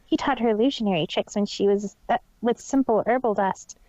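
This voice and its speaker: A man's voice: sits high, brisk, a little mellow, no room sound, highs cut off, pitched above the performer's own voice, natural voice. A man voicing a young, eager female cartoon pony who talks a little hesitantly. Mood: explaining. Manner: speaks of herself in third person, hesitant.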